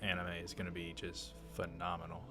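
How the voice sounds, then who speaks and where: A man with a low voice hosts a let's play in a casual style. He rambles and halts as he comments on the game. Faint music sits behind his voice. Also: monotone, fairly quick.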